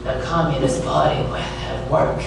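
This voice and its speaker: A man playing a wry, world-weary private detective, narrating and talking in a deadpan, sardonic way in a hardboiled radio drama. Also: fairly high pitch, moderate pace, gravelly, background noise. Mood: wry, brooding. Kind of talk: hardboiled noir radio drama, first-person narration and dialogue. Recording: echoey distant stage recording with music behind.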